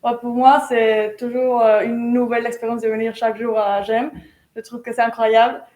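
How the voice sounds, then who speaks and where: A young woman with a higher voice and a non-native ear for French answers a host's questions, often stopping to hunt for the right word. Her phrasing is halting and a little clumsy. The room gives her voice a noticeable echo. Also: level tone, mellow. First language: not French. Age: young adult. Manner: searches for words, hesitant non-native phrasing. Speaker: first-year business student. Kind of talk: live interview answers. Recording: echoey room.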